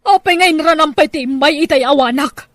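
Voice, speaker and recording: A woman's voice: sits high, fairly quick, a bit bright, dry recording, studio quality. A middle-aged woman voicing a strict mother in an audio drama, scolding her daughter and the boyfriend. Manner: scolding, stern. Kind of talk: amateur audio drama acting.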